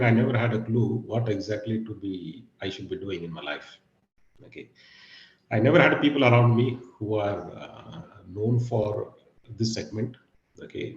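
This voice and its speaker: A middle-aged man with a husky, low voice giving an online guest lecture, pausing often with fillers and "okay". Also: reverberant, dull audio. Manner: hesitant, many fillers and "okay". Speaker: guest speaker. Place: video call.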